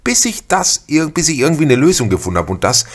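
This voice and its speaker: A middle-aged man with a husky voice hosts a podcast monologue, chatting informally and giving listeners direct advice. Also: fairly high pitch, big pitch swings, fast. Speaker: podcast host. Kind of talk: casual spoken podcast monologue addressed to listeners.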